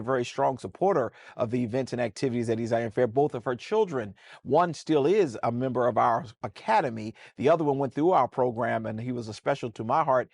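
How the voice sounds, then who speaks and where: A man's voice: medium pitch, no room sound, studio quality. A man with an American accent, a pastor hosting a casual church talk show, folksy and preacherly, often repeating words as he starts a sentence.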